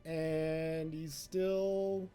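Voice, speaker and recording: A man's voice: fairly high pitch, level tone, extremely slow, warm tone, clear, resonant tone, soft background music. A young man giving casual, rambling commentary while playing a game, often talking to the game and poking fun at himself. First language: English. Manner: rambling, self-mocking, talks to the game. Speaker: let's play host.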